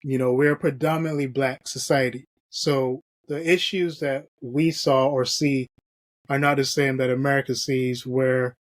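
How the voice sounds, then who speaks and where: A man hosting a podcast, earnestly reading from an article and filling pauses with "you know". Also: medium pitch, flat delivery, no room sound, clean studio sound. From Barbados. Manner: earnest, reads aloud from an article with frequent fillers. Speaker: podcast host. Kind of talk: podcast discussion.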